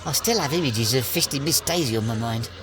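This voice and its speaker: A man's voice: mid-range voice, expressive, brisk, background noise, natural voice. A man playing a long-suffering sidekick in a comedy audio drama, dry and sarcastic. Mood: wry.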